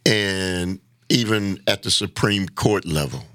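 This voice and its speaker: A man talks earnestly as a guest in a casual conversation, halting and repeating words as he builds his point. His voice sits somewhat low, and the sound is close and dry. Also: a bit bright, no echo.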